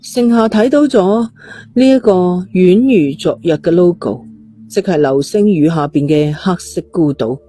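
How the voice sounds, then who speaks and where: A woman's voice: lowish voice, expressive, a little slow, warm tone, breathy edge. A woman with a Cantonese accent reading a suspense novel as a scripted, dramatic storyteller. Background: faint music.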